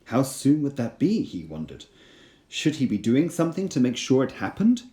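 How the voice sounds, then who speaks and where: A man's voice: mid-range voice, wildly swooping pitch, moderate pace, mellow. A man reading a storybook aloud as a storyteller, with dramatic scripted narration.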